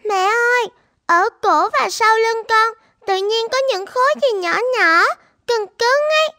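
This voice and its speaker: Woman doing a squeaky bright little cartoon girl, polite and eager, dry close mic, soft music under. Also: lively intonation, measured pace, no room sound, full character voice. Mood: puzzled. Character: cheerful 12-year-old girl, sporty and hopeful. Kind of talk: animated cartoon dubbing.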